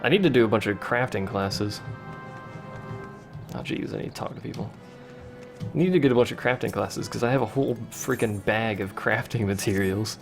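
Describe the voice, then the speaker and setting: Creaky-voiced man streaming a game. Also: lowish voice, normal speed, pauses often, over music. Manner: chatty, reads chat questions aloud, wry asides. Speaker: let's play streamer. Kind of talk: casual gameplay commentary.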